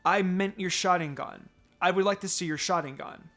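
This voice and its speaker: Man voicing young ninja girl, caring, hesitant, raised pitch, anime fan fiction. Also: normal speed, a bit bright, faint music behind. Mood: shy, curious. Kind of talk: dramatic fan fiction reading.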